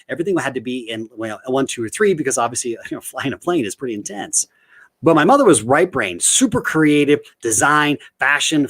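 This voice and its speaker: A middle-aged man from the United States giving an energetic motivational talk over a video call, full of rhetorical questions. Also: medium pitch. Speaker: guest speaker.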